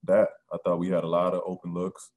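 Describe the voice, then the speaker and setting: A man in his thirties answering postgame questions at a press conference, soft-spoken and halting with many ums and restarts, on a video call. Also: sits low, monotone, muffled recording. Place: press room with sponsor backdrop.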